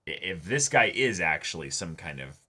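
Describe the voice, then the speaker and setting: A man's voice: low voice, sing-song, moderate pace, sharp tone, slightly rough. A man co-hosting a reaction show, commenting casually and emphatically, with frequent interjections.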